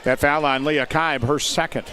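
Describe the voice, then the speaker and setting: An American man doing live play-by-play commentary of high school basketball in clipped, descriptive phrases. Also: fairly even intonation, moderate pace. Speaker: play-by-play announcer. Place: indoor high school gymnasium. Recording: noisy gym with loud crowd. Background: crowd noise and bouncing basketballs.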